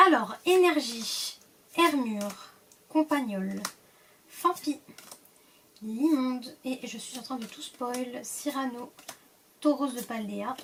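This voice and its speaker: A woman's voice: fairly high pitch, takes it slowly, long pauses, a bit bright, far from the mic, slight background noise, rough recording. A woman with a cold, casually opening card packs and reading the card names aloud, often hesitating and trailing off. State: stuffy from a cold. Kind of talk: casual pack-opening commentary.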